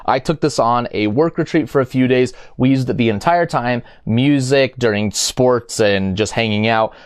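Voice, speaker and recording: A man's voice: medium pitch, moderate pace, smooth, no room sound, studio quality. A man talking casually and unscripted as a product reviewer, explaining things in a conversational way.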